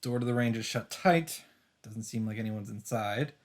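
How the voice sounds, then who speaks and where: A man's voice: on the low side, moderate pace. A man hosting a let's play, reading visual novel narration aloud and adding dramatic asides as he reacts.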